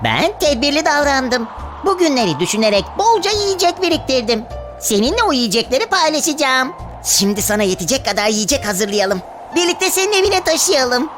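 A woman voicing a kind, wise ant in a children's animated fairy tale, speaking in an earnest, reassuring way. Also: sits high, a little fast, faint music behind. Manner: earnest, reassuring, gently instructive. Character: kind, caring, wise ant giving friendly advice.

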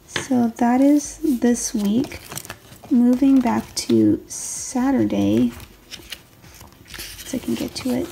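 A woman in her twenties with a raspy late-night voice, rambling casually through her daily planner and correcting herself as she goes. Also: on the high side, slight background noise, rough recording. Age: 20s. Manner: rambling, self-correcting, soft. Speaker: planner vlogger, stay-at-home mom. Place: home desk, filmed late at night. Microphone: overhead camera on the desk.

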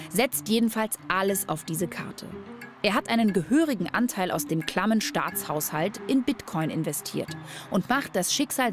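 Female documentary narrator over music. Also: mid-range voice.

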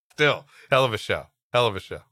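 A man's voice: medium pitch, pushed and bright, dry recording. A man co-hosting a comedy podcast, moving between reading a story aloud and casual, joking banter.

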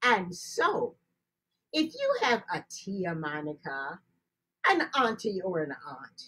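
A middle-aged woman telling children's folktales in a theatrical, playful way, with dramatic pauses and repeated phrases. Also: lowish voice, huge pitch swings, bright, forceful tone, slightly rough. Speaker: storyteller. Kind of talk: animated children's folktale storytelling with sung refrains. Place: indoors, video call.

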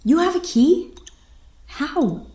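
A woman's voice: sits high, very animated, slow, warm tone, exaggerated voice. An actress playing a defiant, disgraced air officer in an audio drama, solemn and formal in her speech. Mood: guarded. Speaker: voice actor. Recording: echoey space with faint noise.

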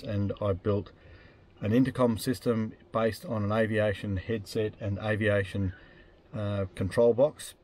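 A man's voice: lowish voice, a little mellow, a little hiss or hum. A man in his fifties with an Australian accent, explaining things casually and matter-of-factly in a vlog, with some hesitation. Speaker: off-road camping vlogger. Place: inside a parked truck cab in the bush.

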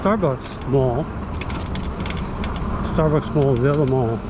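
Man narrating a bike ride over loud outdoor noise. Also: medium pitch, slow. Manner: relaxed, offhand, reminiscing. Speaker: vlogger. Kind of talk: casual narration while riding. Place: outdoors on an e-bike, city streets and lots.

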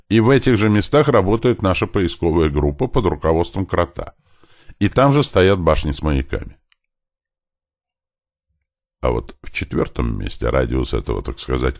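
A man's voice: sits low, sing-song, normal speed, vocal fry, long pauses, darkish tone, dry recording, clean studio sound, pitched above the performer's own voice, exaggerated voice. A man voicing an earnest male professor in an audiobook, explaining things politely and with emphasis. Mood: earnest, emphatic.

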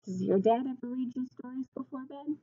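A woman in her twenties reading a picture book aloud like a teacher, expressively, pausing to put simple questions and explanations to young children. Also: high-pitched, mellow, breathy edge, muffled recording. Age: 20s. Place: small classroom, indoors.

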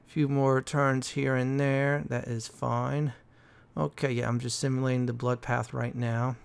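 Man, gaming host, clear voice with vocal fry, halting casual walkthrough commentary. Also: medium pitch, level tone. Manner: halting, explanatory.

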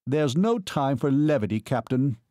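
A man's voice: medium pitch, expressive, normal speed, dark tone, dry recording, clean studio sound, voice pushed up, close to an everyday voice. A man voicing an arrogant, hot-tempered young aristocratic officer in a story, clipped and snapping. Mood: scornful, clipped.

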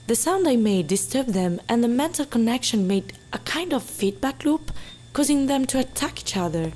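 A woman playing a rattled, analytical scientist in an audio drama, speaking haltingly. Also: a little high, animated, darkish tone, a little hiss or hum, natural voice. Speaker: scientist character.

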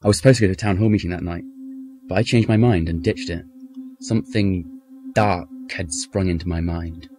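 A man's voice: a little fast, lightly acted. A man playing a short-tempered betrayed husband who narrates his own story in an amateur radio drama. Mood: brooding. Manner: low brooding narration, erupting into shouts. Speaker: narrator and lead character.

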